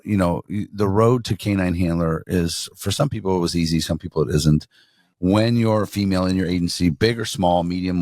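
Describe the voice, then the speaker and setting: A man's voice: low voice, no room sound, studio quality. A man co-hosting a podcast, asking loose, rambling questions in easy conversation. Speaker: podcast co-host. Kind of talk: casual podcast interview.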